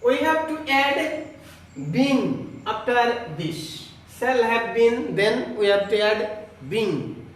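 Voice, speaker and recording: A man giving a grammar lesson to students as their teacher, explaining in a deliberate, repetitive way. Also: very high voice, lively intonation. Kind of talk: classroom lesson addressed to students. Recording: echoey room, distant microphone.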